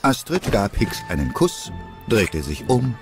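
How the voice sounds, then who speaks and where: A man's voice: lowish voice, sing-song, unhurried, gravelly. A man narrating a scripted story for an audio play. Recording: narration over music.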